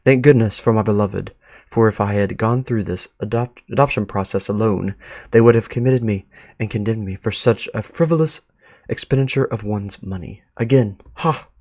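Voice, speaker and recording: A man's voice: lowish voice, normal speed, a little mellow, no echo, studio quality. A man reading letters aloud as a sentimental, well-off doctor and devoted adoptive father, in formal, earnest words. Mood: sentimental, content.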